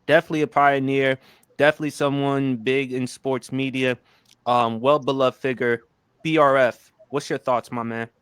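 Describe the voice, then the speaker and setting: A man's voice: medium pitch, level tone, moderate pace, a bit bright, smooth, no echo. Dry-sounding male sports podcast host. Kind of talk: informative hosting of panel discussion.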